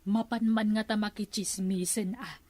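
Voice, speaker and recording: A woman's voice: medium pitch, fairly even intonation, normal speed, darkish tone, no echo, close to an everyday voice. A woman playing a gossiping village neighbor in a radio comedy drama. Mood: chatty.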